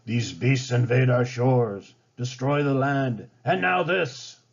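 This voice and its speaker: Proud storybook chief, acted by a man, angry, commanding, husky, dull recording. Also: mid-range voice, slow. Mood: raging. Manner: raging, commanding.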